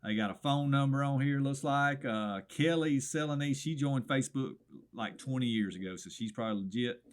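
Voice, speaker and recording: A hoarse middle-aged man with a thick Southern American drawl rattles off items like a small-town radio swap shop host. He is folksy and wisecracking and keeps calling the listener "brother." His voice sits at an ordinary pitch for a man. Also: moderate pace.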